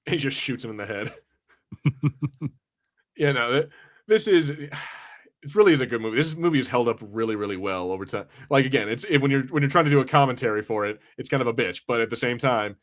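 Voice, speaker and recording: A man's voice: medium pitch, expressive, brisk. A man in his thirties co-hosting a podcast, chatting loosely, rambling, and reading out viewer comments. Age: 30s. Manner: rambling, easy-going, laughs often. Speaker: podcast co-host. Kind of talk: casual podcast banter, reading chat comments.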